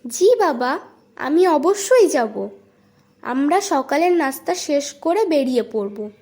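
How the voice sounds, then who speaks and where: A woman's voice: high voice, sing-song, slow pace, exaggerated voice. A woman playing a curious, brave young boy devoted to his father in a children's cartoon. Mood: eager, brave. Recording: loud music behind the voice. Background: loud music.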